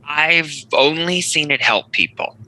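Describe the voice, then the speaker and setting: A man's voice: on the high side, measured pace, bright, forceful tone, a little hiss or hum, rough recording. A man acting a self-assured, defensive church preacher in a dramatic table read.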